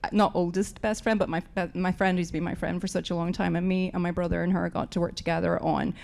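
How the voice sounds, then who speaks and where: A woman's voice: lowish voice, little pitch movement, fast, creaky, a little mellow. A woman author with a Northern Irish accent, chatting casually on a live panel with wry, self-mocking humor.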